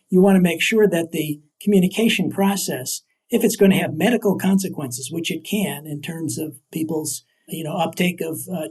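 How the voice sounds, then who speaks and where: A man's voice: on the high side, husky. An older man, an academic guest, explaining his field in interview answers. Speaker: guest, emeritus professor of communication.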